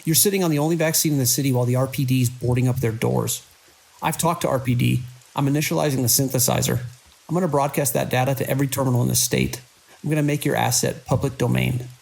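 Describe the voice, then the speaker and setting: An actor playing a beleaguered, idealistic hospital director in a horror audio drama, speaking earnestly and urgently in halting phrases. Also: male voice, medium pitch, steady tone, creaky, darkish tone, husky, rough recording. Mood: defiant, indignant.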